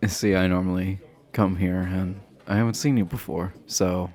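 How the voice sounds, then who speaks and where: Man playing a deep-voiced flirty himbo jock. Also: fairly even intonation, a little mellow, close to an everyday voice. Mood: friendly, nervous. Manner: halting, bashful chuckling, teasing. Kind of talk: romantic roleplay audio acting, addressed to listener.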